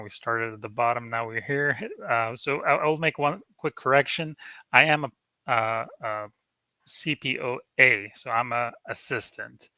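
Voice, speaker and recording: A man from Afghanistan with a very bright, forward voice, recorded dry. He speaks casually as an interview guest, pausing often with ums as he goes. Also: lowish voice, no echo. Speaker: guest, prosthetics assistant and lab lead. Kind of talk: casual interview self-introduction.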